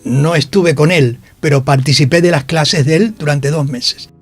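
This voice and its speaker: Higher-pitched older Argentine man telling anecdotes. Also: moderate pace, breathy edge, soft background music. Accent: Rioplatense Spanish. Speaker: interviewed actor. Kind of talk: anecdotal radio interview. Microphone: radio studio microphone.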